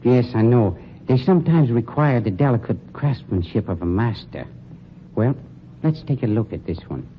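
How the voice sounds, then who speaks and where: A man's voice: mid-range voice, lively intonation, normal speed, close to an everyday voice. An actor playing a polished, scheming funeral director who talks down to his night man, in 1940s radio drama style. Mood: smooth. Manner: smooth, condescending, sneering. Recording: dull, noisy old radio recording.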